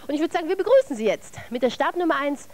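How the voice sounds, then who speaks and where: High, lively woman hosting a TV show. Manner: playful, teasing, quick-witted. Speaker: TV talk show host. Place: TV studio with a live audience. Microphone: handheld microphone. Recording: loud crowd and studio noise.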